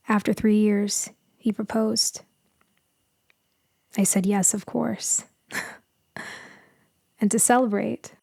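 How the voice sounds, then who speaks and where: A woman playing a gentle, melancholy immortal female vampire in a sleep ASMR roleplay, speaking softly and intimately, often slipping into a whisper. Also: medium pitch, no room sound, studio quality. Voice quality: soft, breathy, often whispering. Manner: slow, hushed, wistful, intimate.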